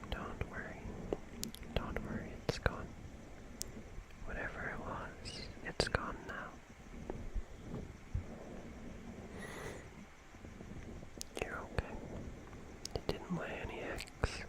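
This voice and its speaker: An ASMR performer plays an ageless guardian of a dark forest, speaking entirely in a hush. The whisper moves very slowly, with long lingering pauses. It is tender and soothing, yet faintly eerie, like a horror bedtime tale told to lull a listener to sleep. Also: lightly acted, rough recording. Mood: tender, eerie reassurance.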